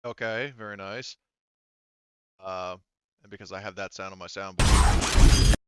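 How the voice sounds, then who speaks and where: A man working as game master, narrating a tabletop roleplaying game casually and haltingly as he thinks aloud over the dice. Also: deep voice, steady tone, heavy background noise. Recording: slightly muffled dry voice recording.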